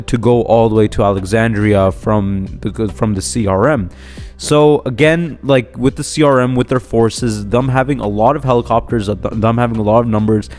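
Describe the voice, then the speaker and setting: A man's voice: lowish voice, darkish tone. A man hosting an unscripted fan theory video, rambling with lots of fillers and tag questions, his voice a little off from a flu and sore throat. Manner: rambling, many fillers and "right?" tags. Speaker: YouTube channel host.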